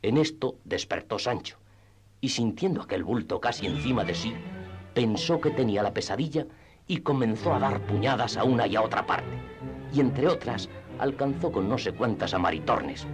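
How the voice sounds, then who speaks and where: A man with a husky voice narrating a classic tale for radio theatre in a measured, dramatic storytelling manner. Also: mid-range voice, expressive, over music.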